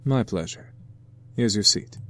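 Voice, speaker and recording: A man plays a courteous young demon from a visual novel with a low voice. He speaks in a formal, measured way, attentive and caring. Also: pushed and bright. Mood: gentle, concerned.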